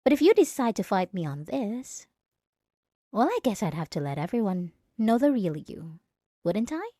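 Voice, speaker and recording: Woman voicing a possessive young bully girl, jealous, menacing, taunting, animated pitch, intimate ASMR roleplay monologue, dry close sound. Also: moderate pace, no echo. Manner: menacing, taunting, swinging between soft and agitated. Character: possessive, jealous young female bully blackmailing her crush.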